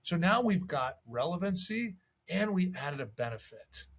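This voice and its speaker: Husky, higher-voiced man coaching. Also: big pitch swings, unhurried. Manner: conversational, acts out sample calls. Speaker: real estate sales coach. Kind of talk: instructional video talk with sales tips.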